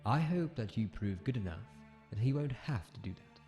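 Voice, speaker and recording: Military commandant, brusque, authoritative, lowish pitch, faint music behind. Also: male voice, expressive, normal speed, warm tone. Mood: grudging, matter-of-fact. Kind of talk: audio comic drama acting.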